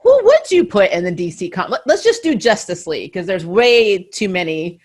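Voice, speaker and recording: A woman with a medium-pitched voice hosts a relaxed fan conversation. She sounds enthusiastic and laughs easily as she talks. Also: normal speed. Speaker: podcast host and interviewer. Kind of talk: casual chat about comics and anime.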